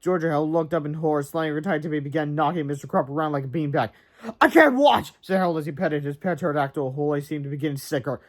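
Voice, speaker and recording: A man's voice: on the high side, steady tone, quick pace, few pauses, a little mellow. A man narrating a storybook aloud in a dramatic way, with casual asides.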